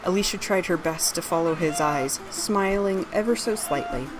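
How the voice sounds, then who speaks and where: A woman with a slightly low voice narrates a fantasy story. She reads scripted prose in the role of author and storyteller, with music clearly playing behind her. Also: normal speed, rough recording. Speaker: author reading own fantasy story aloud.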